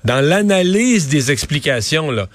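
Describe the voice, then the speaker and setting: A man with a Québécois accent giving animated, casual political commentary, often repeating and restarting words. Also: fairly high pitch, measured pace, dry recording. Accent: Québécois French.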